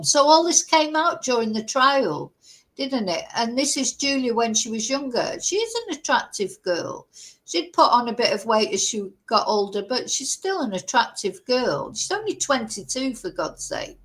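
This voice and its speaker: A woman's voice: fairly high pitch, poor audio. A woman hosting a true crime livestream. She reads a news article aloud and keeps breaking off for chatty asides and self-corrections. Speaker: true crime stream host. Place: livestream at home.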